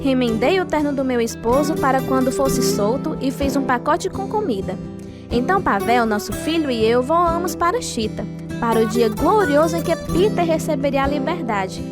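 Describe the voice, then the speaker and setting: High-voiced, devout, anguished wife. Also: female voice, animated, normal speed. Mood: solemn narrating. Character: devout, anguished wife and mother of a Soviet prisoner. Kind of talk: radio drama narration and dialogue. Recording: music playing behind the voice.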